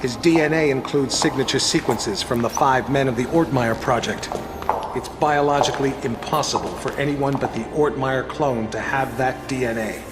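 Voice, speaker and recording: A man's voice: medium pitch, moderate pace, background noise, lightly acted. Smug, pompous villainous boss played by a man. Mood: gloating. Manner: pompous, articulate, sardonic. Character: smug, ruthless older male conspiracy boss. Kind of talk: video game cutscene acting. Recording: background music over dialogue.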